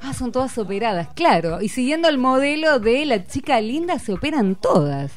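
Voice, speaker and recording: A woman's voice: high-pitched, big pitch swings, normal speed. Coaxing Argentine woman radio host. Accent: Argentine Rioplatense Spanish. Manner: coaxing, encouraging questions. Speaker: radio host talking with schoolchildren. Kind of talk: casual classroom radio chat.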